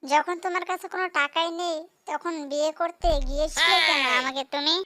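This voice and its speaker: A woman voicing a sharp-tongued, scolding, sarcastic wife fox in a cartoon. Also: high voice, brisk. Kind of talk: cartoon character dialogue.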